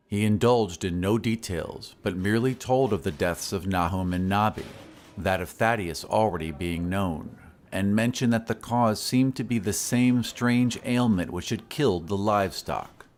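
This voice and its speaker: Low-voiced male horror narrator. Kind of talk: dramatic scripted horror story narration.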